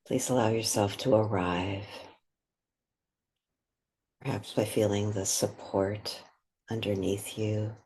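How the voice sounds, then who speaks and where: Slow, breathy woman guiding a meditation. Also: extremely low pitch, fairly even intonation. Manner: gentle, unhurried, with long pauses between phrases. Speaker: meditation guide. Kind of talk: guided meditation.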